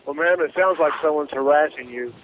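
Male law officer, fairly high voice, courteous and procedural, heard over a narrow phone line. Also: a little fast. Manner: polite, matter-of-fact. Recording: noisy, tinny phone line.

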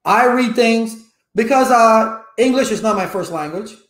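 A man's voice: high voice, a little slow, echoey room. A man in his fifties, Cuban-born with Spanish as his first language, talking animatedly to viewers from a home office with a webcam. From Cuba. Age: 50s. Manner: animated, gestures a lot, rhetorical questions. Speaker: network engineering educator. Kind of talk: casual livestream talk to viewers. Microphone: webcam at desk.

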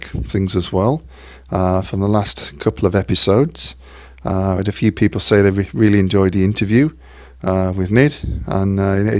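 A man with a hoarse, croaky voice hosting a solo podcast, talking haltingly with many fillers and restarts. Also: fairly low pitch, no echo, clean studio sound. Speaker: podcast host. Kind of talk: casual solo podcast talk.

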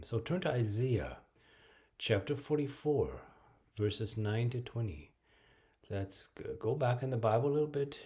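A middle-aged man preaching a home video sermon and reading scripture aloud, in a measured way with frequent ums, in a bright room at home. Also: on the low side.

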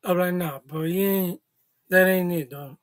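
High-voiced man in laid-back street slang. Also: measured pace. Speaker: reaction channel host. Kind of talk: casual reaction commentary. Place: small indoor room, seated at a desk.

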